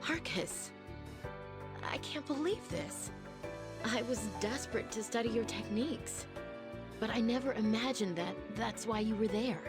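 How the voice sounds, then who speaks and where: An actress playing a spirited, supportive young woman surgeon in an animated game drama, expressive and earnest. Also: a little high, unhurried, over music, lightly acted. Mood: concerned, earnest.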